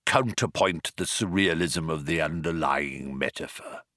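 A man voicing a callous alien captain and would-be poet in a slow, menacing, grating voice for a storybook. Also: on the low side, huge pitch swings, creaky, sharp tone, no echo, studio quality, heavily acted. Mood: detached amusement. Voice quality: whirring, grating voice.

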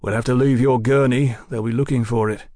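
A police sergeant in an audiobook , acted by a male narrator. He speaks clearly and deliberately, with firm, quiet authority. His tone is wry and admonishing, in a close, dry recording. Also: mid-range voice, steady tone, no echo, close to an everyday voice. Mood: brisk, gruff.

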